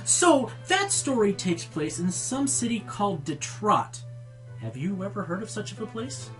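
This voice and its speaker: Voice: mid-range voice, sing-song, unhurried, a bit bright, soft background music. An actor playing an exasperated pony radio show host in a comedic radio play, theatrical, incredulous and sarcastic. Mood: dry, teasing.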